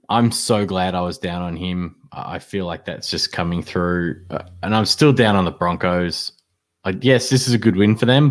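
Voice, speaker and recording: A man, co-hosting a casual sports podcast, laughing and arguing playfully. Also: deep voice. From Australia. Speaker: podcast co-host.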